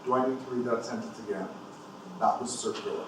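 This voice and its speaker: A man presenting at a conference, reading a formal academic paper aloud with measured, deliberate phrasing. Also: medium pitch. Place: indoor university conference room. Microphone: distant from the speaker. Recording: echoey room, distant mic, faint noise.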